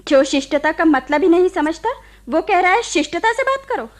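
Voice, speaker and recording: A very high female voice plays a young nurse in a radio play. She is proud and bitter. Her delivery is indignant and cutting, full of sarcasm and accusation. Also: lively intonation, moderate pace. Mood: scornful, indignant. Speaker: radio drama actor. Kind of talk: Hindi radio play acting.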